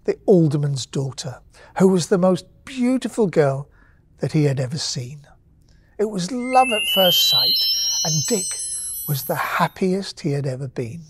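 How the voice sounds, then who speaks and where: A woman with a slightly low voice reads a bedtime story aloud, gentle and expressive. She leans into dramatic pauses. A faint hum of room ambience sits behind her.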